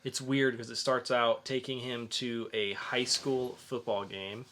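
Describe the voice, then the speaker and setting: A man's voice: medium pitch, level tone, unhurried, pushed and bright. A man hosting a podcast, casually reviewing in an informal, rambling way with some swearing. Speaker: podcast host and comic reviewer.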